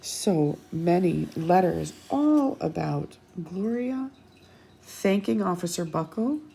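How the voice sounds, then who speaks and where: A woman reading a picture book aloud to children expressively, pausing for asides and questions to her young listeners. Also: fairly low pitch, animated, creaky, mellow, a little hiss or hum, rough recording. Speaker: children's storytime host.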